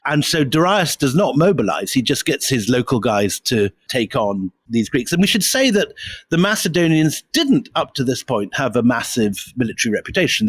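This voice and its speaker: A man's voice: mid-range voice, no echo. A man co-hosting a history podcast, explaining events in an enthusiastic, conversational way.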